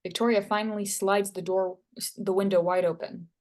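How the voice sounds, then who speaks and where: Mid-pitched woman narrating a script. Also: steady tone, moderate pace, a little mellow. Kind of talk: reading screenplay stage directions at a table read.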